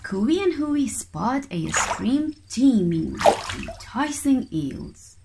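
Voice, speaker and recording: Very slow, expressive New woman reading. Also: fairly high pitch, vocal fry, very noisy. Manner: expressive, deliberate phrasing. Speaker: children's book reader. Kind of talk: storybook read-aloud for young children.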